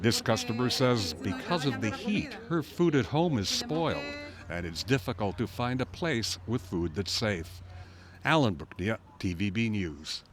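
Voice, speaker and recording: A man's voice: on the low side, very animated, measured pace, a little hiss or hum. A man narrating a scripted television news report as a correspondent.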